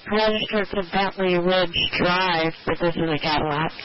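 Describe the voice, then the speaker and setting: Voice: mid-range voice, moderate pace. A police dispatcher reporting records checks over the radio. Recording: muffled, noisy police radio channel. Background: radio static.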